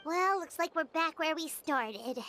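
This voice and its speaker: A woman's voice: high-pitched, lively intonation, measured pace, bright, forceful tone, a little hiss or hum, exaggerated voice. A woman voicing a tiny, childlike, chatty companion sprite in a video game, perky, casual and inquisitive. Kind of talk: video game character acting. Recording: prominent music behind the voice.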